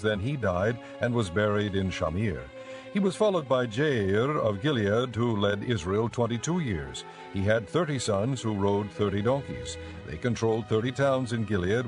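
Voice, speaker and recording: Guy narrating bible audiobook, lowish voice, measured scripted read, light music behind.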